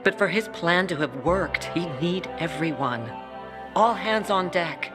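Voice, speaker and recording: An actor playing a tough peacekeeper commander who speaks in a gruff, curt way. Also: mid-range voice, lively intonation, measured pace, music in the background, close to an everyday voice.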